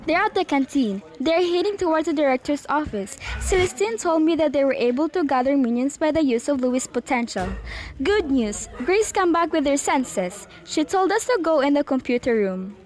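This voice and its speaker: A woman's voice: high voice, expressive, normal speed, a little mellow. A woman playing an alert young student passing on urgent messages in an amateur radio drama.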